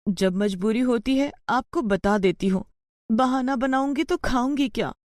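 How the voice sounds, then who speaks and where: A woman's voice: fairly high pitch, a little slow, a little mellow, no room sound, studio quality, pitched above the performer's own voice, natural voice. A woman voicing a poor, troubled village mother in a moral story, pleading and sorrowful. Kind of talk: acted dialogue in a Hindi moral story.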